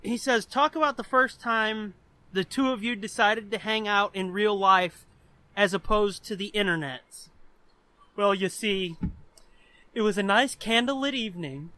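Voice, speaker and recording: High, bright-voiced male podcast co-host. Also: unhurried, clean tone, no room sound. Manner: crude, heavy swearing, sardonic joking. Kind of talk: casual comedic banter.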